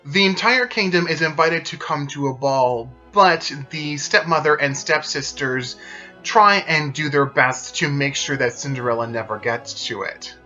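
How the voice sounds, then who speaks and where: Male presenter, slightly high voice, steady scripted narration, soft music under it. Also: unhurried, lo-fi sound. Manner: steady explanatory retelling. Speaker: video presenter.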